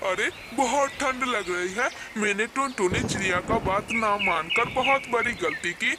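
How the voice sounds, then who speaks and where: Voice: medium pitch, moderate pace, lightly acted. A performer voicing a lazy male crow in a Hindi children's cartoon, with a whiny, dramatic delivery that repeats itself. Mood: shivering, regretful. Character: lazy, grumpy male crow who turns pleading. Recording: very noisy track with background ambience.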